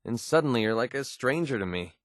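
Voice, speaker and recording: A man's voice: mid-range voice, lively intonation, brisk, no echo, natural voice. Man as a guarded, blunt mercenary, very dry recording. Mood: angry, frustrated. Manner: blunt, cynical, earnest when opening up. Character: jaded young male wasteland mercenary, wounded and guarded. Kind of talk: video game voice acting.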